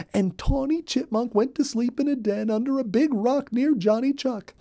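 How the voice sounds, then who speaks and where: A man's voice: very high voice, dark tone, pitched above the performer's own voice. A man voicing a gossipy female cottontail rabbit who chatters breathlessly, for a children's storybook.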